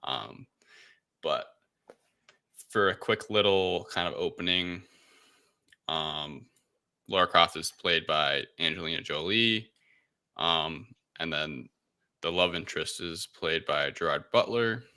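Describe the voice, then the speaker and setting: The deep-voiced guy at the head of a movie podcast, feeling his way through a recap in a sharp, forward tone. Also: takes it slowly, long pauses. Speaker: podcast host. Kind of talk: casual film review discussion.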